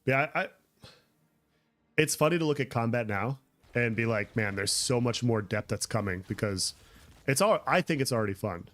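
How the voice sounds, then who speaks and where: A man's voice: mid-range voice, lively intonation, moderate pace, fairly bright tone, dry recording. A man chatting casually on a gameplay livestream, answering viewer comments as he plays. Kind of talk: casual gameplay stream chat.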